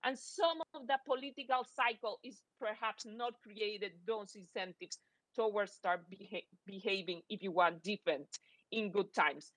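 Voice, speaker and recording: A woman's voice: mid-range voice, normal speed, dry recording, rough recording. A woman from Costa Rica, a native Spanish speaker, giving a conference presentation as an academic economist.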